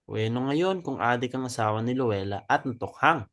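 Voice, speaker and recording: A man's voice: medium pitch, lively intonation, normal speed, fairly bright tone. A man playing a supportive club member in a dramatic reading, firm and reasoning. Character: supportive, reasoning male club member Rocky defending a widow. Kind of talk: dramatic stage reading in Tagalog.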